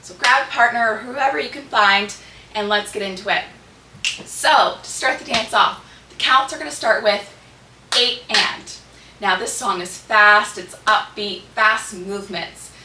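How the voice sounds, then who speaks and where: Slightly hoarse woman in an echoey room. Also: medium pitch, a little slow. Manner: clipped rhythmic counting and cueing of moves. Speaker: dance tutorial host. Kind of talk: step-by-step dance instruction with counts. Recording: echoey room, distant mic, background noise.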